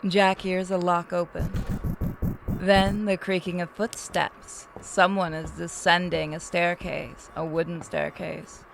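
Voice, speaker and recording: A woman's voice: on the low side, flat delivery, takes it slowly, creaky, music in the background, rough recording. A woman reading a visual novel game aloud as narrator, slipping into casual banter.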